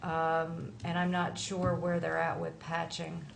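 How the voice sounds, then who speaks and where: A woman's voice: low-pitched, monotone, unhurried, vocal fry, a little hiss or hum. A middle-aged woman town official answering questions at a board meeting in a matter-of-fact way. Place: town hall meeting room.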